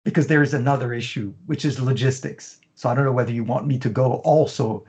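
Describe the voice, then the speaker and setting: A man's voice: mid-range voice, quick pace, a little mellow. A middle-aged man, a fashion business consultant, explaining his methods in an interview and stringing long lists together with frequent "you know" fillers.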